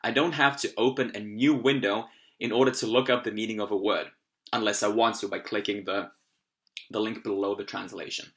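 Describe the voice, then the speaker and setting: A young man from Austria, a German native speaker with an Austrian German accent, gives an upbeat screen-recorded tutorial on language-learning software. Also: fairly low pitch, fairly even intonation, brisk. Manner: upbeat, explanatory, occasional fillers.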